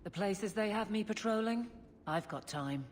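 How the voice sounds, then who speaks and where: A woman's voice: mid-range voice, lively intonation, normal speed, natural voice. An actress playing a blunt, dutiful and loyal woman city guard in a video game. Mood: familiar, restless. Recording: long reverb, faint background noise.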